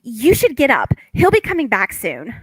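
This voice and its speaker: Flustered housewife, high and lively woman acting. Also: normal speed, husky, no room sound, full character voice. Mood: flustered, wary. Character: flustered young housewife, wary yet tempted, dryly teasing. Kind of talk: cold table read of a stage comedy.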